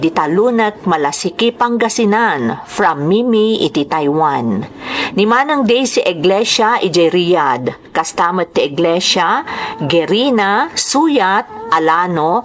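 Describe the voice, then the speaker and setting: A woman with an Ilocano accent hosting a drama program, reading greetings and letters in a measured announcer style. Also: on the low side, a little hiss or hum.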